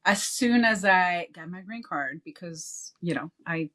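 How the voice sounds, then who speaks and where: A woman's voice: fairly low pitch, animated, a little slow, fairly bright tone. A woman from Belgium, a former car salesperson, talking casually as a podcast guest and often checking in with "you know" and "right?".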